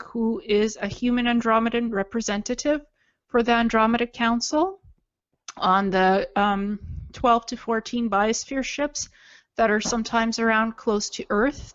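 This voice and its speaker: A woman's voice: fairly high pitch, measured pace, dry recording, muffled recording. A woman from Ukraine giving unscripted personal testimony in an earnest, matter-of-fact manner. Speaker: self-described alien contactee telling own story.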